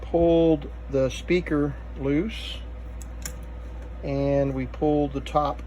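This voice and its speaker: A man giving unscripted hands-on repair commentary, halting and thinking aloud as he works. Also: fairly high pitch, warm tone. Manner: halting, muttering thoughts aloud while working. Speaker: vintage electronics repair video host. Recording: faint background noise.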